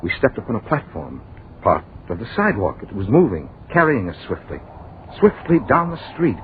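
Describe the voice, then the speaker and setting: An actor playing a bewildered, horrified man who tells his own dreamlike story in halting, dramatic fragments, in a 1940s radio drama. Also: lowish voice, sing-song. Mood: hushed, wondering. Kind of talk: 1940s radio drama, first-person narration. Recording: muffled, noisy old radio recording.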